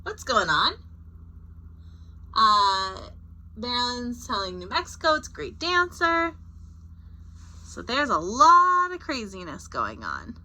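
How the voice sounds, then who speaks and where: A woman's voice: on the high side, measured pace, poor audio. A woman reading a picture book aloud as a storyteller, expressively and with informal asides. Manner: expressive, acting out short character quotes.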